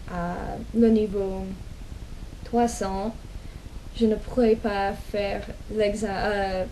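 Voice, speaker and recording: Slow, hesitant American woman speaking learned French. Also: medium pitch, warm tone, a little hiss or hum, rough recording. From United States. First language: English. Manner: slow, hesitant, many pauses and restarts. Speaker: language vlogger. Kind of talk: casual personal storytelling in learned French.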